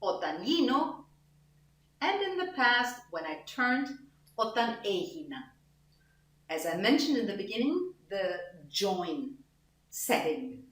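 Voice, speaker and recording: A woman teaching a language lesson, explaining grammar slowly and deliberately, repeating example phrases and sounding them out. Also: medium pitch, sing-song. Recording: roomy echo.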